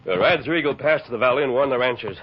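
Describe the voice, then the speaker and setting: An actor playing a steady, earnest, authoritative cowboy hero in an old-time radio western. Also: male voice, mid-range voice, rapid-fire, lightly acted. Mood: grave, commanding. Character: steady, commanding heroic masked cowboy lawman. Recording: muffled, noisy old radio recording.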